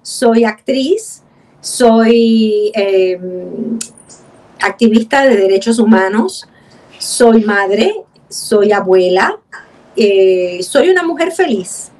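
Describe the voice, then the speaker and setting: A woman's voice: mid-range voice, lively intonation, drawn-out words, darkish tone, low-quality recording. A woman in her seventies with a Puerto Rican accent, a guest recalling family history at an easy pace over a video call. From Puerto Rico. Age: 70s. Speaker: guest, actress. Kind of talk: interview.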